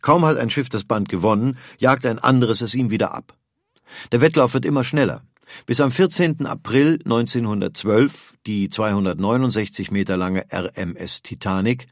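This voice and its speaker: A man's voice: lowish voice, no echo, clean studio sound. A man reading scripted narration for an audio history documentary.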